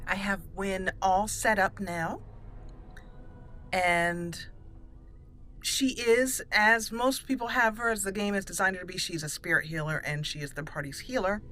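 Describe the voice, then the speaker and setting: A woman's voice: mid-range voice, steady tone, measured pace, pushed and bright. A woman giving chatty, playful gameplay commentary as a let's play host, casual with some roleplay.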